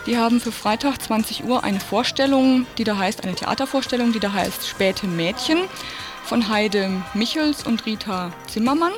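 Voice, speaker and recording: A woman's voice: on the high side, normal speed, music in the background. A woman presenting a radio programme and reading out announcements in an informal way. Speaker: radio host.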